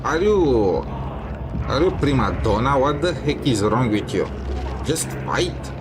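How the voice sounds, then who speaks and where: A man comments casually on a video game he is playing. His mid-pitched voice is sardonic and exasperated. Game music is clearly audible behind him. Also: lively intonation, a little slow. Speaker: gamer commenting on gameplay.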